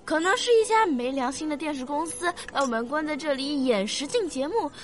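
A woman's voice: high-pitched, animated, a little fast. A woman playing a curious, stubborn young daughter in a science-fiction radio drama, earnestly reasoning like a little scholar. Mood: eager arguing.

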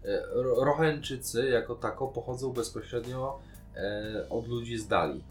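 A man talks casually as a podcast co-host. His voice sits at a medium pitch and his delivery is colloquial and full of opinion. Faint guitar music plays underneath. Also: normal speed. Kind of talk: casual discussion of a TV series.

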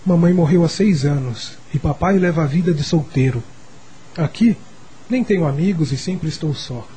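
An actor playing a lonely, sickly rich schoolboy in a Brazilian radio drama, confiding in a childlike way, with a Brazilian accent and a husky voice. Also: male voice, on the high side, normal speed, mellow, low-quality recording. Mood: lonely, resentful.

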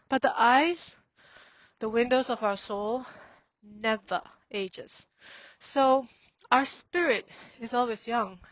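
A woman's voice: fairly high pitch, no room sound, dull audio. A woman in her forties musing aloud for a reflective podcast while walking outdoors on a snowy street. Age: 40s. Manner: slow, musing and meandering. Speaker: podcast host.

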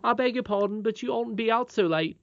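A woman's voice: on the high side, fairly quick, dry recording, highs cut off, pitched above the performer's own voice, lightly acted. A woman reading a storybook aloud, voicing a confident, cheerful twelve-year-old miner boy with a frank, matter-of-fact manner.

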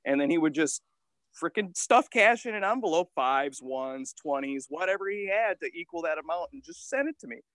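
A man around thirty, a podcast guest telling stories in an animated, casual way with the odd swear. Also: fairly high pitch, moderate pace, dry recording. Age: 30s. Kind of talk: casual interview storytelling.

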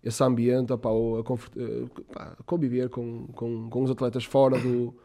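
A man with a European Portuguese accent hosts a podcast, teasing his guest and often breaking into laughter. His pitch sits in the middle and he leans on fillers. The feel is loose and playful. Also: level tone, moderate pace, gravelly, warm tone. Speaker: podcast host, comedian. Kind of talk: casual chat.

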